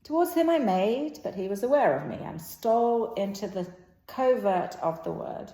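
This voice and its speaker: A woman's voice: mid-range voice, lively intonation, moderate pace, warm tone, close to an everyday voice. Woman reading as an earnest young nobleman, echoey. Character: earnest young nobleman recounting events to his elders. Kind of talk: amateur stage play read-aloud. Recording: echoey room.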